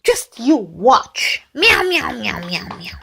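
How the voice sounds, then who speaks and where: A woman's voice: a little high, sing-song, takes it slowly, vocal fry, sharp tone, slightly rough, exaggerated voice. A woman voicing angry, bossy storybook cats who meow between their words. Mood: angry, scornful. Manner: punctuates speech with meows. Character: gang of angry, haughty alley cats.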